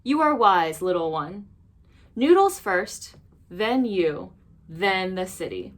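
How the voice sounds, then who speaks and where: A woman's voice: medium pitch, very animated, takes it slowly. A woman voicing a horrible, ancient male monster in a storybook, hungry, boastful and menacing, with growled and groaned words. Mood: smug, greedy.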